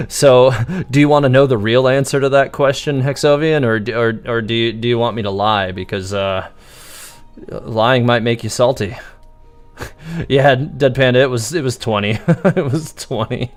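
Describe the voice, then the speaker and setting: A man livestreaming a video game with casual, rambling, halting commentary. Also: mid-range voice, steady tone, faint music behind. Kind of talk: casual live gameplay commentary.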